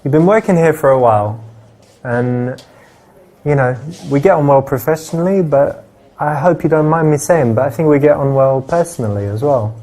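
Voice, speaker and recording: A man's voice: a little high, normal speed, dark tone, lightly acted. A man acting as a friendly, flirtatious character in casual, slightly hesitant conversation for a live-action game. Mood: gentle, hesitant.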